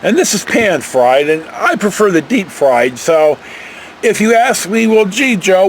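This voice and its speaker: Animated, high-pitched older American man storytelling. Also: slight background noise. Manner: folksy, meandering, animated emphasis. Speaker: vlogger telling personal travel stories. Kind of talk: casual rambling storytelling.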